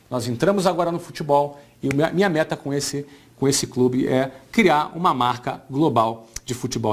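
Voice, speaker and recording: A man in his forties with a Brazilian Portuguese accent, giving an informal business talk as an entrepreneur, conversational and often checking in with his listeners. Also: a little high. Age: 40s.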